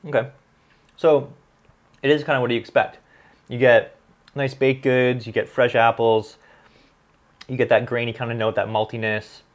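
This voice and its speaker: A man in his thirties with a Canadian accent, chatting casually as a channel host, relaxed and full of ums as he reads comments and tastes whiskey. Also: mid-range voice. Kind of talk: casual vlog, reading comments and tasting. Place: small home studio with bottles on a desk.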